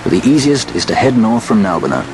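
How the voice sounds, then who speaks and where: An actor voicing a wry, self-assured rogue who speaks with dry confidence, over orchestral music. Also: male voice, on the low side, big pitch swings, fast, poor audio. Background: orchestral game score.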